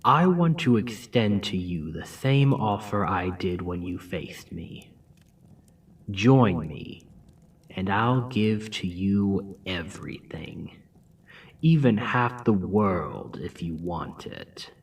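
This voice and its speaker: Man as a demon king, low-voiced, slow and deliberate, lively swings in tone, close whispering ASMR roleplay. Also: slightly rough, exaggerated voice. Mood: persuasive. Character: scheming male demon king, menacing and seductive.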